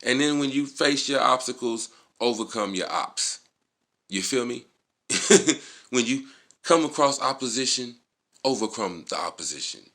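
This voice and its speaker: A man's voice: mid-range voice, expressive, a little slow, a bit bright. An American man giving a casual motivational vlog talk, emphatic and slangy, swearing freely and repeating key words. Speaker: vlogger.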